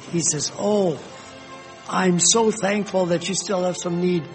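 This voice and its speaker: An older man telling stories from his years abroad, unhurried and gentle, pausing often. His voice sits a bit high for a man, with soft music faintly underneath. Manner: slow, gentle storytelling with fillers. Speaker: retired missionary. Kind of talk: reminiscing personal history.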